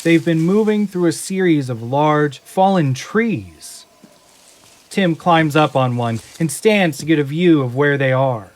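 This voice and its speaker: Higher-pitched male audio drama narrator. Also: lively intonation, a little slow.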